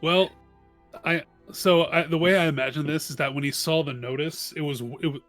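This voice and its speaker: A man's voice: fairly high pitch, fairly even intonation, moderate pace, a bit bright, faint music behind. A man playing a tabletop roleplaying game, chatting casually and thinking aloud with frequent stumbles.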